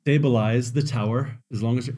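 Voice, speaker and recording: A man's voice: medium pitch, fairly even intonation, moderate pace, mellow. A man hosting a podcast, chatting casually and enthusiastically with a guest, laughing often and using filler words. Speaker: podcast host. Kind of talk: casual interview chat.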